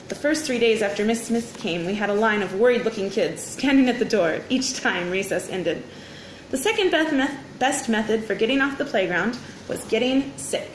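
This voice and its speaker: Animated, high-pitched woman reading a story. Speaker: teacher reading aloud. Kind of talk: expressive children's story read-aloud. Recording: roomy echo, faint background noise.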